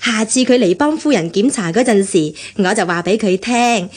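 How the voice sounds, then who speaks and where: An actress playing a kindly, chatty, meddling senior housekeeper with a coaxing manner in a radio drama. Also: female voice, medium pitch, normal speed, a little mellow, slightly rough, close to an everyday voice. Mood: teasing, coaxing. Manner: coaxing, cajoling. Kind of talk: Cantonese radio drama acting.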